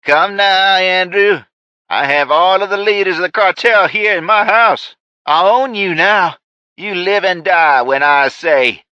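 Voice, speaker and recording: A male narrator voicing an unhinged, gloating crime boss villain who shouts in a shrill voice. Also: unhurried, dry recording, lo-fi sound. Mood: unhinged shouting.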